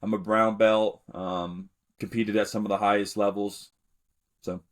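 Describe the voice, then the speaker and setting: Laid-back man, lowish voice. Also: a little slow. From Alabama, United States. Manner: relaxed, rambling, many fillers and restarts. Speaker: podcast guest. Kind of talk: casual interview storytelling.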